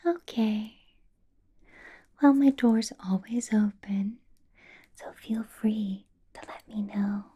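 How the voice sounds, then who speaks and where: A woman playing a possessive, menacingly sweet vampire boss in a whispered ASMR roleplay, coaxing and polite with drawn-out words. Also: medium pitch, dark tone, heavily acted. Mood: fond, gracious. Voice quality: breathy whisper.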